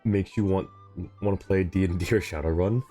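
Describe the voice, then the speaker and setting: A man's voice: deep voice, steady tone, a little fast, warm tone. A man doing casual, jokey live commentary as a game streamer, reacting aloud as he plays. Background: game music.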